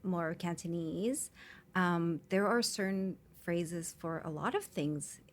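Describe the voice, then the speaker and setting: A woman with a slightly low voice speaks as a guest in a relaxed interview. She talks easily and casually, with frequent "um" and "like." She was born in Hong Kong and raised in Canada. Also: slow pace. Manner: easygoing, with frequent um and like. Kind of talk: conversational interview.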